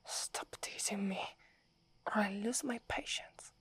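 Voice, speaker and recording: A woman with an Italian accent voicing a proud young werewolf woman, whispering softly close to the mic and stammering when flustered. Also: medium pitch, takes it slowly, breathy edge, no room sound. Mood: impatient. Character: proud young werewolf woman, gruff but secretly fond. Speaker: ASMR roleplay performer. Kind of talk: whispered ASMR roleplay.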